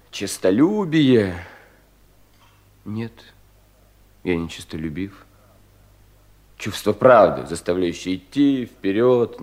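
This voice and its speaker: Brooding, low-voiced troubled young official. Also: male voice. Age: young adult. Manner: brooding, theatrical, self-questioning, with sudden swells and hushed drops. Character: troubled, self-doubting young provincial official, anxious and brooding. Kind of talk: 1970s Russian audio play, theatrical monologue.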